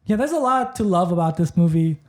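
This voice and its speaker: A man's voice: high-pitched, expressive, brisk. A man co-hosting a podcast, reviewing a movie in casual chat, rambling with lots of fillers and restarts. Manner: rambling, heavy use of "like" and restarts. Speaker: podcast co-host.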